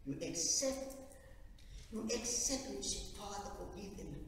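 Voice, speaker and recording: A man from South Africa preaching at a communion service, with fervent loud peaks that drop to quiet low stretches and frequent halting restarts. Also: lively intonation. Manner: halting, repeats words and restarts. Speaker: lay preacher. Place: large echoey church hall. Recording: echoey hall from far off, faint hiss.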